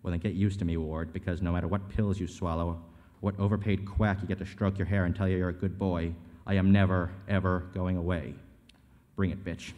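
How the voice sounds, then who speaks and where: A man plays a scornful ghost from the grave in a staged script reading. The voice is low and dark, profane and bullying, ringing in a long hall reverb. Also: fairly even intonation, fast, lo-fi sound, natural voice. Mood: menacing, defiant. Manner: profane, taunting, contemptuous.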